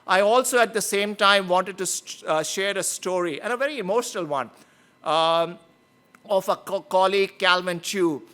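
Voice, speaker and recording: A man giving formal welcome remarks as event host, earnest and pausing often on "uh". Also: high voice, pushed and bright, clear, resonant tone. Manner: earnest, frequent "uh" fillers. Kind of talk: formal speech.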